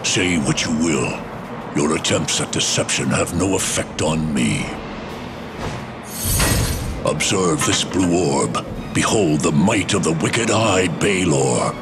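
Very deep, gravelly demon lord. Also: male voice, expressive, exaggerated voice. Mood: triumphant, threatening. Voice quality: gravelly, booming. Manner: grandiose, threatening, deliberate. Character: menacing, arrogant demon lord, huge armoured male tyrant. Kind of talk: fantasy game voice acting. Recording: loud background music.

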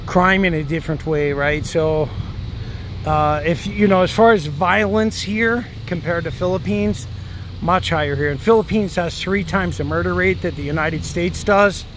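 A man's voice: high voice, normal speed. Rambling man vlogging on a busy street. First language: English. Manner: rambling, musing, frequent "right?". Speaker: travel vlogger. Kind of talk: casual walking commentary. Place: outdoors on city streets. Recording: outdoor street noise. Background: traffic and street noise.

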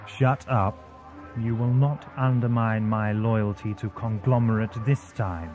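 A man's voice: lowish voice, fairly even intonation, measured pace, over music, natural voice. A man playing an eccentric, glib, theatrical time-travelling adventurer in an audio drama. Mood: cold, commanding. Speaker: voice actor in an amateur audio drama. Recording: noisy lo-fi 1980s amateur tape.

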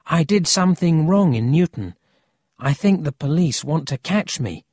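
An earnest, watchful country boy in a storybook, acted out by an adult reader. He speaks in a raised, lively pitch with a careful, serious manner and bristles easily. The sound is close and dry. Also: moderate pace, no room sound. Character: earnest, cautious country boy on a thief's trail.

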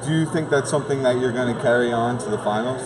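Young man, sports interviewer, medium pitch, casual delivery, crowd behind. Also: quick pace, poor audio. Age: young adult. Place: large indoor basketball gym. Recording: gym with clear crowd noise behind.

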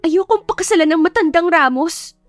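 A woman's voice: very high-pitched, expressive, normal speed, no echo, faint music behind, voice pushed up. A woman voicing a spoiled, scheming young sister in a melodramatic audiobook, whining, indignant and pleading with heavy emphasis. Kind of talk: audiobook character acting, melodramatic.

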